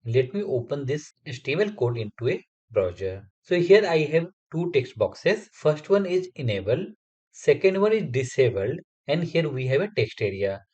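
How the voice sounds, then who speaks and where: A man walks through a coding lesson step by step, with lively intonation. His voice is a little husky. The audio sounds slightly dull and lo-fi. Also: fairly high pitch, moderate pace. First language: Hindi. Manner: explains step by step, methodical. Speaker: software testing instructor. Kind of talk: screen-recorded coding tutorial.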